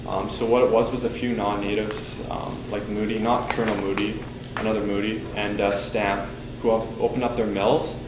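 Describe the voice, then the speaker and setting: Young male student presenter, low monotone, loose filler-heavy delivery, echoey lecture room with air-conditioning hum. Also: normal speed. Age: young adult. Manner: loose, filler-heavy talk with many ums and likes. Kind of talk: casual class presentation.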